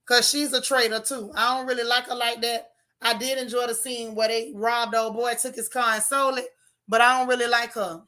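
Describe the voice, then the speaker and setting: A hoarse woman hosts a casual livestream, her voice pitched a little high and bright. She talks straight to her chat, animated and opinionated. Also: normal speed. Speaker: channel host reviewing a TV show.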